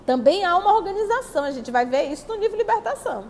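Brazilian woman, spiritist lecturer, high voice, teacherly and rhetorical, echoing hall. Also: very animated, fast, a little mellow. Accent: Brazilian Portuguese. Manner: teacherly, rhetorical questions answered herself. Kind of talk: religious study talk, reading and commenting on texts. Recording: long hall reverb, faint background noise.

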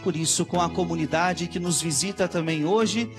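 High-voiced Brazilian priest leading Mass. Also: male voice, normal speed, music in the background. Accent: Brazilian Portuguese. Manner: formal liturgical cadence. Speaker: visiting priest. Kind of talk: liturgical opening and homily.

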